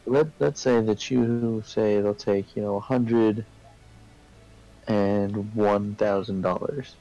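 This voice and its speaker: Guy chatting and arguing a point, real slow, creaky voice, keeps asking right? okay?. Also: on the low side, steady tone, mellow, muffled recording. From Canada. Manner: explanatory, repeatedly checks in with "right?" and "okay?". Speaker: participant in a group discussion. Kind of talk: casual debate, chat.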